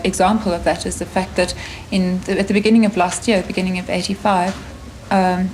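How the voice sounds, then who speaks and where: A woman with a South African accent answering in an unscripted interview as a campaigner, pausing and rephrasing as she goes. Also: on the low side, background noise. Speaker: anti-conscription campaigner.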